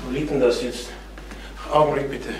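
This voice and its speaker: A middle-aged actor playing a troubled travelling salesman in a crime radio play, halting, repeating himself and on the defensive. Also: mid-range voice, fairly even intonation, close to an everyday voice. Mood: flustered. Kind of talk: German crime radio drama acting. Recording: noisy vintage radio drama recording.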